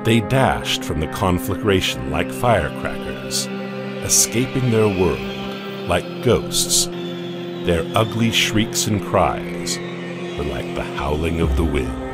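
A man narrating a horror audio comic in a slow, measured, ominous way. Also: sits low, very animated. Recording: narration over loud music.

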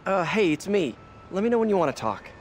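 A man's voice: sits high, very animated, brisk, noisy background. A young man playing an earnest, awkward superhero in a video game, often hesitant and muttering to himself. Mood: anxious. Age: young adult. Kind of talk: naturalistic video game acting.

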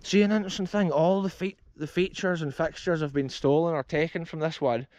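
A man's voice: on the high side, fairly even intonation, fairly quick, a little mellow. Enthusiastic Scottish man filming outdoors. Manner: enthusiastic, addresses viewers as folks. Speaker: explorer vlogger. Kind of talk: walking tour commentary. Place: open grassy field outdoors. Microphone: action camera held close.

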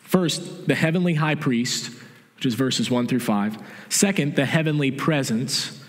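A middle-aged man preaching a sermon in a church sanctuary, explaining calmly and restating his key points. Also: medium pitch, measured pace. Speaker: pastor. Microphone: stage mic from a far camera. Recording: long church reverb.

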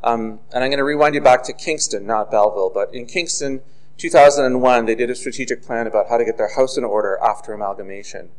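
Man, professional planner, loud emphatic delivery, frequent fillers, podium microphone. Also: mid-range voice, fast talker, poor audio. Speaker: consulting planner. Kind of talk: formal presentation. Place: council chamber.

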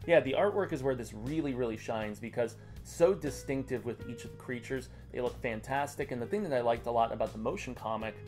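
A man's voice: mid-range voice, a little fast, few pauses, a little mellow, soft background music. An American man in his thirties co-hosting a review show in a casual, chatty style. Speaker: co-host of a comic review show. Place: small indoor room lined with comic shelves.

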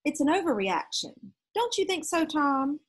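A woman's voice: high-pitched, very animated, moderate pace, pitched above the performer's own voice. A woman voicing an outspoken, protective mother in a storybook, firm and questioning. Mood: annoyed, challenging.

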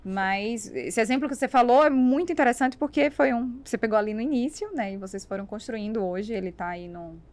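A woman's voice: on the high side, expressive, fairly quick, hardly any pauses, darkish tone. A woman in her thirties with a Brazilian accent, chatting emphatically on a podcast and checking for agreement. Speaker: podcast panelist. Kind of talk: casual chat. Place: small foam-treated podcast studio. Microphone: close studio mic on boom arm.

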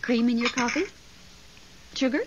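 A woman's voice: a little high, moderate pace, natural voice. A woman playing a genteel, chatty widow pining for her late husband in a 1970s radio drama. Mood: chatty, reminiscing. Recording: dull, faintly noisy 1970s radio.

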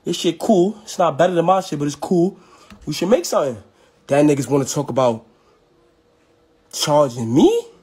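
A man's voice: on the high side, expressive, normal speed, pauses often, a little mellow, breathy edge. A young man, talking in a brash, boastful, slangy way on a casual livestream. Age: young adult. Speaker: rapper. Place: small indoor room at home. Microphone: handheld phone, close and moving.

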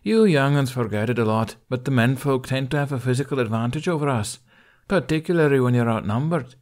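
A man voicing a gruff older Viking woman in an audiobook, lecturing in a stern, exasperated way. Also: medium pitch, a little fast, a little mellow, pitched above the performer's own voice, close to an everyday voice. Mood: exasperated, scolding.

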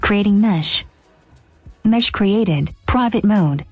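A woman's automated prompt voice at medium pitch gives brief status announcements. It sounds tinny and phone-like, with music clearly playing behind it. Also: animated, slow. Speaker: device voice prompts. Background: background music.

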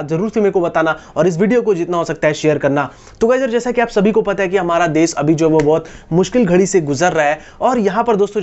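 A man's voice: sits high, darkish tone, muffled recording. A man in his twenties with an Indian accent, explaining things directly to his viewers as a YouTube host. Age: 20s. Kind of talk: explanatory talk to camera. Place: indoors, small room against a wall. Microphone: lapel mic.

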